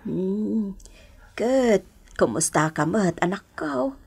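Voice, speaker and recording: A woman's voice: mid-range voice, expressive, slow pace, creaky, a little mellow, breathy edge, full character voice. A woman playing a worried, scolding older mother and mother-in-law in a Filipino radio drama, with an Ilocano accent and a fretful, reproachful manner. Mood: concerned, gentle. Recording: music bed behind voice.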